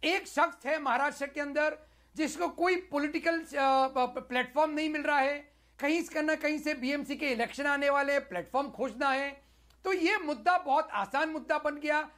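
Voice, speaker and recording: A man with a fairly high-pitched voice speaks as a guest on a news debate panel. He argues in a measured, explanatory way, dropping in English words. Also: fairly even intonation, fairly bright tone. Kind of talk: televised debate argument.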